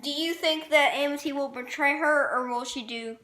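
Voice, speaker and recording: High, bright-voiced woman in casual review chat. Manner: halting, informal recounting.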